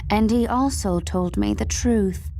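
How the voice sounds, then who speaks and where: An actress playing a proud, bitter superpowered woman in a video game, cold, deliberate and disdainful. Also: medium pitch, creaky, dark tone, a little hiss or hum.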